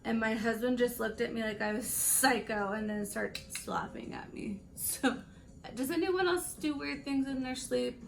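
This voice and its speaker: Raspy, gravelly-voiced woman in her late twenties. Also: a little high, a little slow, soft background music. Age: late 20s. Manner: rambling, laughs between stories. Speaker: beauty vlogger. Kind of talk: casual chatty makeup tutorial.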